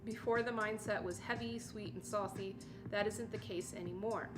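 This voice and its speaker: A woman's voice: medium pitch, fairly even intonation, moderate pace. Woman host, reads an article aloud steadily, drops into chatty asides, mid-range voice, music running under her. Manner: reads steadily, breaks off into chatty, surprised comments. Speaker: livestream host. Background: music playing underneath.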